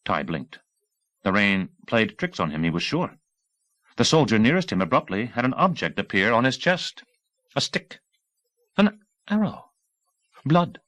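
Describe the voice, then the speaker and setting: A man reading an action novel as an audiobook narrator, with intense, deliberate phrasing in short bursts. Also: mid-range voice, very animated, fairly bright tone, no echo.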